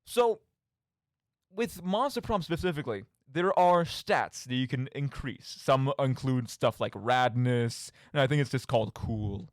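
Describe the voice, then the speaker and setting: A man's voice: medium pitch, unhurried, no echo, studio quality. A man hosting a radio show, giving casual solo commentary on games in an emphatic, rambling way, often interrupting himself.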